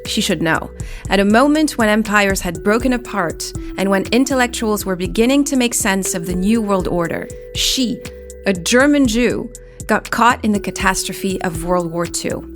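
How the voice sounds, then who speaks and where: A woman journalist hosting a documentary podcast, in measured and reflective scripted narration that poses questions to the listener. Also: medium pitch, animated. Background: music bed.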